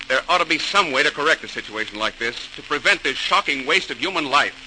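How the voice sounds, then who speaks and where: An actor playing a determined engineer in 1940s radio style, intense and earnest. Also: male voice, on the high side, lively intonation, quick pace, natural voice. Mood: distressed, earnest. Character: earnest, determined young male immigrant engineer. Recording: noisy old radio transcription.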